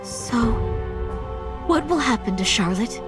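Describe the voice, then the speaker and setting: A woman's voice: a little high, animated, takes it slowly, music in the background. A woman playing a determined young female vampire heir in a game, speaking earnestly and with open emotion. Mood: anxious, demanding. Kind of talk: dramatic game voice acting with narration.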